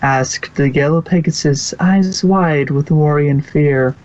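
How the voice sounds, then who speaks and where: A narrator reading fan fiction aloud in a halting way, stumbling now and then over the text. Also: mid-range voice, darkish tone. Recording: dull lo-fi recording.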